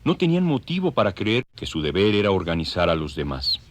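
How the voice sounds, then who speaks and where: A man's voice: deep voice, wildly swooping pitch, brisk. A man narrating an audio drama in a scripted, dramatic storytelling style.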